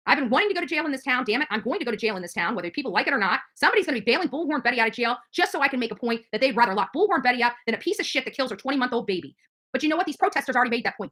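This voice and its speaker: A middle-aged woman talks fast and hot, her pitch swinging widely as she rants at the camera. Her voice sits on the high side and has a bright, forceful edge. She sounds indignant and confrontational and keeps hammering the same points. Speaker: activist streamer. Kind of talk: angry direct-to-camera rant.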